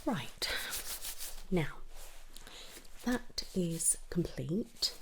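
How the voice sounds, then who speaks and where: A woman doing an ASMR medical roleplay as an audiologist, gently and reassuringly moving between breathy whispers and soft speech. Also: fairly low pitch. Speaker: ASMR performer playing an audiologist.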